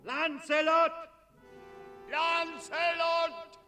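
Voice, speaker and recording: Dragon tyrant, radio play: imperious rage, extremely high slow shouting, huge reverberant space. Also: male voice, flat delivery, soft background music, full character voice. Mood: enraged, searching. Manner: imperious, shouting, drawn-out calls. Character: enraged, mighty dragon tyrant searching for his hidden enemy. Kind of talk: 1960s German radio play acting. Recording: long cavernous reverb.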